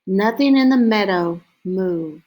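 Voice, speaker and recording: A woman reading a children's story aloud in a deliberate way, with frequent pauses between short phrases. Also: mid-range voice, animated, a little mellow, low-quality recording. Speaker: storybook reader.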